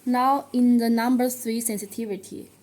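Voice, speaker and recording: A woman who speaks English as a second language, presenting a product tutorial in a halting, step-by-step way. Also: fairly high pitch, steady tone, a little mellow. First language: not English. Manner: halting, step-by-step delivery with non-native phrasing.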